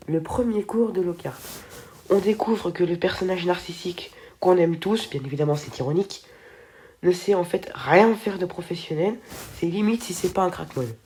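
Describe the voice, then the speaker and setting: Teen girl host with a stuffy cold; low, mellow voice, chatty and a bit stumbling. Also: a little hiss or hum. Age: teens. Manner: chatty, trips over words and restarts. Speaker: film video co-host. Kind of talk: casual video commentary.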